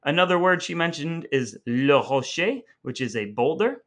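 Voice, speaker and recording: A man's voice: fairly high pitch, expressive, normal speed, a bit bright. A man hosting a podcast in a scripted yet conversational style, with playfully grandiose, wordy phrasing. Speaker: podcast host.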